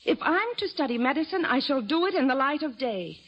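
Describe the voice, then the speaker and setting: Proud determined young woman, high voice, formal and firm, old radio play, muffly recording. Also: sing-song, fairly quick, dull audio. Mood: proud, resolute. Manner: firm, indignant, precise formal diction. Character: determined, proud young woman of twenty-six, aspiring surgeon. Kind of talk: old-time radio drama acting.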